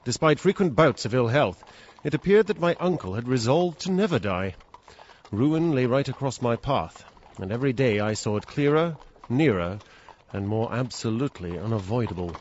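A man playing a polite, anxious, hard-up gentleman with a British accent, speaking formally in dramatic narration and dialogue. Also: mid-range voice, expressive, normal speed, close to an everyday voice. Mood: bitter, worried. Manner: formal, well-spoken. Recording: dull, faintly noisy recording.

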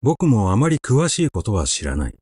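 A man reading a literary story aloud in a measured, expressive, conversational storytelling manner, close to the microphone. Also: lowish voice, slightly rough, no echo. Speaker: audiobook reader.

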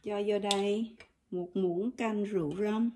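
A woman's voice: medium pitch, fairly even intonation, a little slow, darkish tone, a little hiss or hum. A woman with a Southern Vietnamese accent giving a chatty, step-by-step kitchen demonstration and talking straight to her viewers. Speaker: cooking vlogger. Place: home kitchen, indoors. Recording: kitchen clatter of dishes and utensils.

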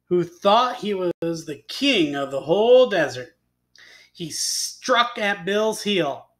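A man with a high-pitched voice reads a storybook tale aloud. He goes very slowly and deliberately, like a teacher telling it to pupils, putting expression into each phrase. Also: a bit bright. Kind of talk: animated storybook read-aloud.

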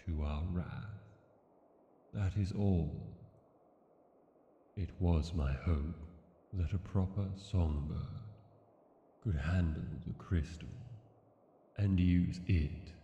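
A man's voice: low-pitched, warm tone. An actor playing a courtly, scheming dragon king in a fantasy audio roleplay, teasing and falsely humble, in an echoing space. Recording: dull, echoey with long cavernous reverb.

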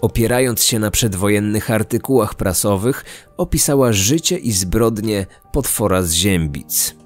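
A man's voice: on the low side, moderate pace, soft background music. A man delivering scripted documentary narration as the host of a true-crime podcast.

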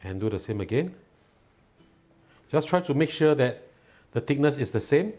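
A middle-aged man hosting a cooking show, explaining each step calmly and patiently in a home kitchen. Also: medium pitch, moderate pace. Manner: calm, patient and instructive, enthusiastic when tasting.

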